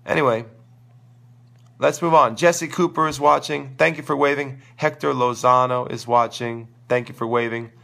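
A man's voice: mid-range voice, steady tone, normal speed, bright, forceful tone. A man with a crackly voice worn by a sore throat, talking casually and emphatically as a tennis coach answering viewers on a live stream. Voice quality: crackly, gravelly. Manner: emphatic, opinionated, informal. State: losing his voice, sore throat. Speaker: tennis coach hosting a live online show.

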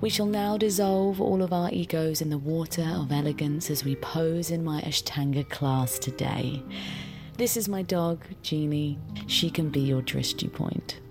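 A woman's voice: deep voice, measured pace, music in the background. An actress playing an eager, perfectionist female estate agent and yoga teacher, earnest and performatively gracious, in an audio drama. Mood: serenely lofty.

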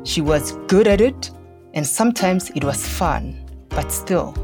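A woman with a fairly low voice narrates a children's story over clear background music. Her delivery is scripted and measured, with deliberate pauses between phrases. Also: animated. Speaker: podcast narrator.

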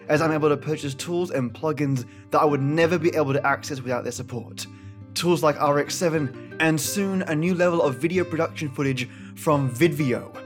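A male podcast host with a slightly high voice reads his introduction over soft guitar music. His delivery is measured and earnest, with formal phrasing. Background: plucked guitar music.

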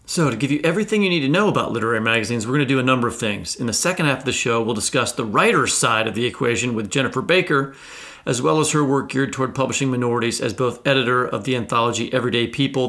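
Guy cohosting a podcast, bright gravelly voice, jokey and upbeat. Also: mid-range voice, fast. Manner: upbeat, joking. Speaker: podcast co-host. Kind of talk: podcast interview.

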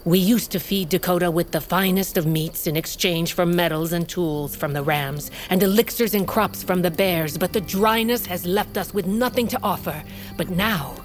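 A woman as a low-voiced, commanding warrior queen. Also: soft background music, close to an everyday voice. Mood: measured, imperious. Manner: imperious, measured. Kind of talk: fantasy audio drama.

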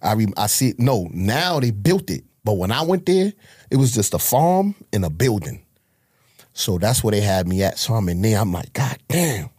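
A man's voice: on the low side, sing-song, normal speed, darkish tone, husky, no room sound, studio quality. A man from Harlem with a New York accent, telling his life story in a casual, profane, streetwise way as an interview guest. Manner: streetwise, profane, acts out quoted voices.

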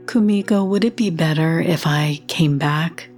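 A woman playing a calm, careful end-of-life helper in an audio drama, gentle and matter-of-fact. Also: sits low, a little slow, soft background music. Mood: wary, composed. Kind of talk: full-cast audio drama acting.

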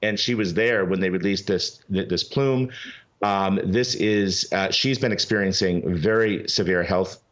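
A man's voice: sits low, measured pace, a bit bright, lo-fi sound. A man as a guest on a talk show, speaking urgently and emphatically, often restarting his sentences. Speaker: guest, legal advocacy group representative. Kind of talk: animated call-in interview.

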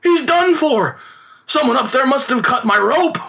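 A man plays a wily old street magician from a storybook, a melodramatic father who complains and pleads. The voice is pitched high, swooping and bright, well above an everyday register. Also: animated, a little fast. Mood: anguished wailing. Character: theatrical aging male street conjurer, wily, lamenting father. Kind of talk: storybook character voice.

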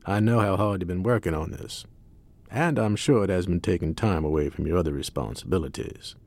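Deep, gravelly, controlled boss. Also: male voice, darkish tone, no echo. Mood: approving. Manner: measured, formally polite, commanding. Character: controlled, authoritative male boss of a secret group. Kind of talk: audio drama acting.

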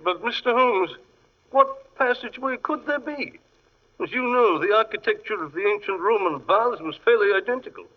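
High-voiced, flustered British gentleman. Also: lively intonation, normal speed. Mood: anxious, earnest. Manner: fretful, hesitant, stammering. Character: anxious, flustered titled British gentleman archaeologist. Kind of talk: 1940s radio drama acting. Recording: muffled vintage radio recording.

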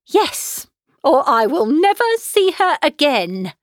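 Woman acting a shrill, flustered, fussy mother. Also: very animated, slow, no room sound, clean studio sound, full character voice. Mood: indignant. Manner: exclamatory, insistent, fussy. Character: shrill, flustered, scheming middle-aged mother, easily agitated. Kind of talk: audiobook character voice, classic novel.

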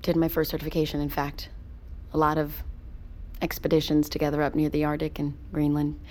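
An actress playing a guarded, earnest submersible pilot who is new to the crew, talking casually in a naturalistic audio drama. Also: female voice, deep voice, completely flat delivery, brisk, darkish tone, slight background noise, close to an everyday voice. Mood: subdued, grieving.

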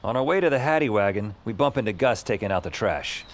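A man's voice: on the low side, lively intonation, fast talker, a little hiss or hum. An actor playing a wry, world-weary adventurer who narrates his own story in an audio drama with slangy lines. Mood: wry, resigned. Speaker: narrator and lead character. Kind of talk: first-person scripted narration in an audio drama.

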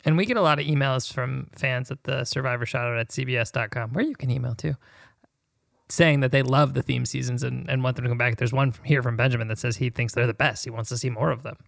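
A man's voice: mid-range voice, steady tone, fast talker, gravelly, a bit bright, dry recording, studio quality. A man co-hosting a podcast in casual conversation. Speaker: podcast co-host.

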